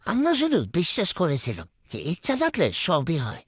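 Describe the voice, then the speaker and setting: A woman's voice: on the low side, huge pitch swings, brisk, slightly rough, heavily acted. A woman voicing a determined, inventive village boy in a cartoon. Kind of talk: cartoon voice acting.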